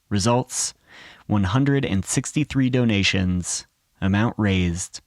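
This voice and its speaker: A man narrates a documentary podcast from a script. His voice sits low, and the sound is close and dry with almost no room around it. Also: no room sound.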